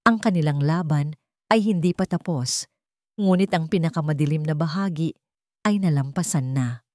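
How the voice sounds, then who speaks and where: A woman narrator reading a scripted romantic drama story in measured, short phrases. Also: low voice, a little mellow, no room sound, studio quality.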